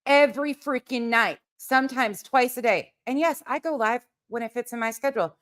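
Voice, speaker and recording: A woman's voice: on the high side, normal speed, a bit bright. A woman hosting a live reaction stream, with a blunt, combative, sweary way of talking. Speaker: livestream host.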